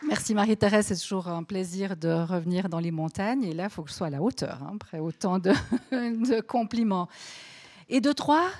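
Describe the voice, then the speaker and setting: A woman journalist moderates a conversation in front of an audience. She keeps things brisk and teases her guest. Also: medium pitch, a little mellow. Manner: brisk, teasing. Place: event hall before an audience.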